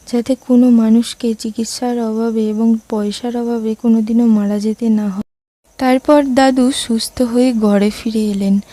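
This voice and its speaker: Woman narrator for scripted children's story, raised register, mellow timbre, no room sound. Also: a little high, no echo.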